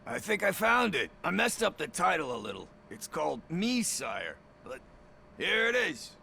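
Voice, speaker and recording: A man's voice: high-pitched, big pitch swings, normal speed, gravelly, bright, forceful tone, a little hiss or hum, exaggerated voice. A man playing a jittery, frightened, hapless video store worker in a game, speaking nervously. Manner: nervous, stammering. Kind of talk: video game cutscene acting.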